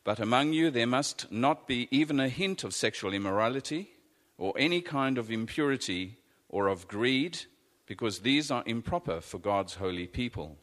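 A man reading scripture aloud in a measured, deliberate way. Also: mid-range voice, expressive, a bit bright. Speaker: scripture reader. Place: church. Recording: echoey church hall.

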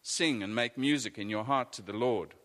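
A man reading scripture aloud in a measured, deliberate way. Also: medium pitch, fairly bright tone. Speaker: scripture reader. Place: church. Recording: echoey church hall.

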